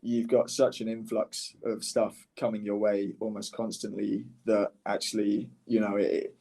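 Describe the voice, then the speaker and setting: A man's voice: lowish voice, little pitch movement, unhurried, creaky, dark tone. A man speaking casually as a podcast guest and startup founder, candid and often rephrasing mid-sentence. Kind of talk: casual conversational podcast interview.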